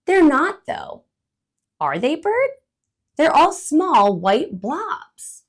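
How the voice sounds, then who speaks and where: Storybook squirrel, a woman putting him on: small, fussy, excitable, high and loud, animated. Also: extremely slow, heavily acted. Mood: excited. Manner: exclamatory, high and loud, childlike questions. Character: excitable little male squirrel, curious and fussy. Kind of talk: storybook read-aloud voice.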